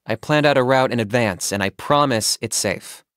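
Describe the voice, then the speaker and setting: A man's voice: mid-range voice, moderate pace, clean tone, no room sound, natural voice. Cool, dry young hunter played by a man, close studio sound. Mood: calm, reassuring. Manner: deadpan, matter-of-fact, composed. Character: calm pragmatic young male hunter, businesslike, dry. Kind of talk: video game character voice acting.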